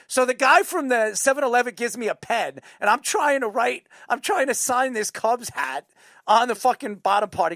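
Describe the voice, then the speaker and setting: A man telling stories in casual chat as a talk show host, excitable, repeating himself and swearing now and then. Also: sits high, gravelly, no echo, studio quality. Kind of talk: casual chat, animated storytelling.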